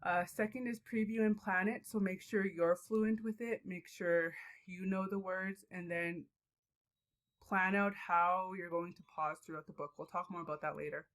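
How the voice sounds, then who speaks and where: A woman's voice: mid-range voice, a bit bright. A young woman giving an informal presentation as a student teacher.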